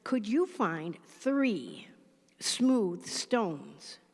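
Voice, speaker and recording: A woman's voice: mid-range voice, big pitch swings, very slow, husky, exaggerated voice. A woman voicing a friendly traveling stranger who coaxes villagers into helping make soup, with a persuasive manner. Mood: coaxing. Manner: coaxing, persuasive. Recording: long church hall reverb.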